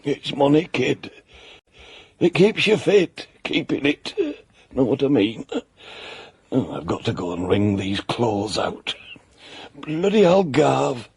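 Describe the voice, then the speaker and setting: An actor playing a smug, condescending small-time crook in a comic radio drama, gravelly and mock-friendly with quiet menace. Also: male voice, medium pitch, big pitch swings, slow, long pauses, dry recording, full character voice. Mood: smug, sneering. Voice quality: gravelly, husky.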